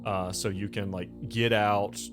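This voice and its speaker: A man narrating a tabletop game as game master, informal and halting, leaning on filler phrases. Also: on the low side, flat delivery. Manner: informal, halting, full of "like" and "you know". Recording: music playing behind the voice.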